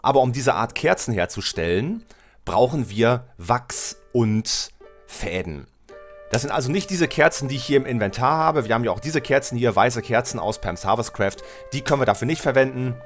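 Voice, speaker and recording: A middle-aged man with a cold, his voice lower than usual, commenting casually on a building game while he plays, thinking aloud and correcting himself. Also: expressive, normal speed, faint music behind. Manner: chatty, thinks aloud, self-correcting, addresses viewer. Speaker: Let's Play host. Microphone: headset mic at desk, webcam.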